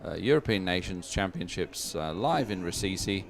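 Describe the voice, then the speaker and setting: A man working as a live sports commentator and presenter, announcing results and schedules in an unscripted, halting way. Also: sits low, expressive, creaky. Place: open-air commentary position.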